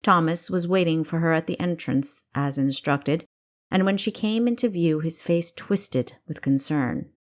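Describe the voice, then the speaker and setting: A woman's voice: deep voice, unhurried. A woman reading her own novel as scripted, dramatic audiobook narration.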